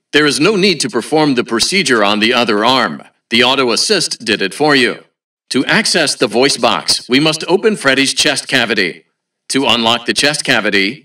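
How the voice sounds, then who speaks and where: Bright, blandly cheerful robot announcer. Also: male voice, medium pitch, recorded from a distance. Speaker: automated announcer. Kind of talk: scripted video game announcements.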